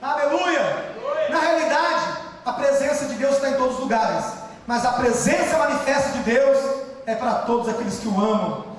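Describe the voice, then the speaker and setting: Brazilian preacher man, really high voice, shouts a lot, far off in a big echoey church. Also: normal speed. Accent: Brazilian Portuguese. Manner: preaching, often shouting for emphasis. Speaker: pastor. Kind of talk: sermon. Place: large church hall. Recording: echoey church hall, distant mic.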